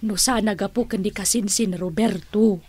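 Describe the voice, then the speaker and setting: A woman's voice: medium pitch, normal speed, warm tone, husky, lightly acted. A woman in an Ilocano radio drama playing a wealthy, plain-living older aunt, playful and good-natured, drawing out her words with emphasis. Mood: warm, reminiscing.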